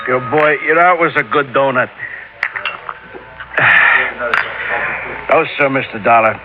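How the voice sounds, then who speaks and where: An actor playing an eager, loyal working-class truck driver with folksy, stammering speech in a 1950s radio drama. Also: male voice, medium pitch, slow, natural voice. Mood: earnest, hesitant. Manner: folksy, stammering, ungrammatical chatter. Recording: noisy, tinny old radio broadcast recording.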